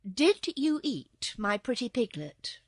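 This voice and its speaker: A woman voicing a dignified young princess in a storybook, gentle but indignant, with a formal, measured manner. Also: on the high side, big pitch swings, no echo, clean studio sound, pitched above the performer's own voice, exaggerated voice. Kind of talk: storybook character voice.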